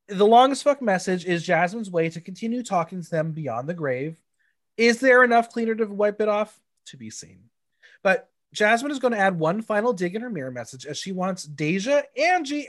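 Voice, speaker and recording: A congested man hosting a podcast, chatty and animated, swearing casually. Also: high voice. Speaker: podcast host.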